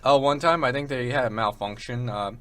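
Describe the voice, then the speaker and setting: A young man hosting a college radio show, chatting casually and slangily, with animated storytelling. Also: mid-range voice, a little fast. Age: young adult. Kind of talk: casual chat.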